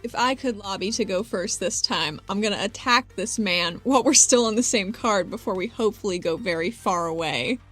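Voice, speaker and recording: A woman's voice: mid-range voice, normal speed, hardly any pauses, a bit bright. A woman playing a tabletop role-playing game, chatting casually in a playful, reactive way. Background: background music.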